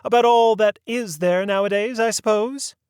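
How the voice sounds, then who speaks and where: A man's voice: high voice, moderate pace, darkish tone, clean tone, no echo, clean studio sound, voice pushed up, lightly acted. A man reading aloud and voicing a fussy, genteel older woman with a prim, sweetly proper manner.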